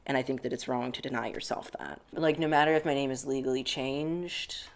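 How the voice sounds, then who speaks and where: A man's voice: mid-range voice, fairly even intonation, brisk, gravelly. A young man from the United States, answering interview questions in a reflective, casual way, often saying "like". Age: young adult. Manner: reflective, many filler "like"s.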